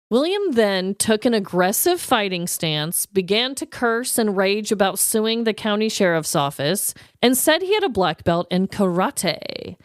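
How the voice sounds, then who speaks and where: A woman's voice: medium pitch, no echo, studio quality. A woman co-hosting a comedy podcast, chatting casually in a playful, slangy, giggly manner. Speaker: podcast co-host.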